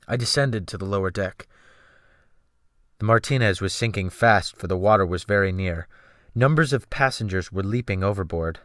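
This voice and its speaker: A man's voice: lowish voice, dry recording, clean studio sound. A man giving a dramatic, theatrical first-person reading of literary fiction as an audiobook narrator. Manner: theatrical, earnest, deliberate phrasing. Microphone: Blue Snowball USB microphone.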